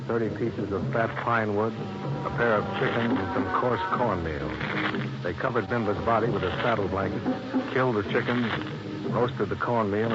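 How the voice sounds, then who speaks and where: A man's voice: fairly low pitch, natural voice. An actor playing a tough, dry-witted investigator in a 1950s radio drama, narrating his case in terse, wry, hard-boiled style. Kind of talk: 1950s radio drama acting and first-person detective narration. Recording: muffled old radio recording, loud music.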